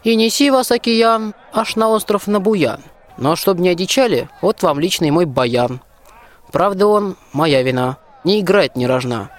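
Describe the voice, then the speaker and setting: A man's voice: high-pitched, expressive, a little slow. A man in a radio play acting a bold, plain-spoken peasant soldier who scolds in folksy rhymed verse. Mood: judging. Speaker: school student actor.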